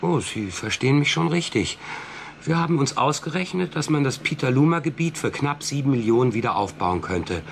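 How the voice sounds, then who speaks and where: An actor playing a proud, wheedling small-town farmer in a radio drama, speaking in a familiar, insistent and emotional way. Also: male voice, medium pitch, lively intonation, a little fast, gravelly, slight background noise. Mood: ingratiating. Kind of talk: German radio drama acting.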